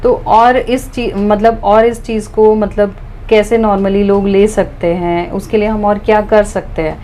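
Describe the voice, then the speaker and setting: A woman in her forties interviewing a doctor, talking in an animated, chatty way and switching freely between Hindi and English. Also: mid-range voice, unhurried, slight background noise. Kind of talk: podcast interview, casual chat.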